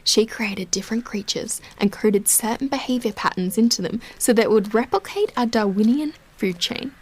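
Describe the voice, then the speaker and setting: A woman's voice: fairly high pitch, moderate pace, husky. An actress playing a guarded, matter-of-fact young woman in an audio drama, speaking casually. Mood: reminiscing.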